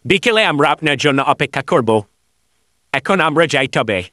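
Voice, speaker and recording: A man playing an eager, flattering villager in a cartoon, speaking in an emphatic, insistent way. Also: fairly high pitch, moderate pace, no room sound, clean studio sound. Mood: respectful, eager. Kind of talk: animated cartoon dialogue.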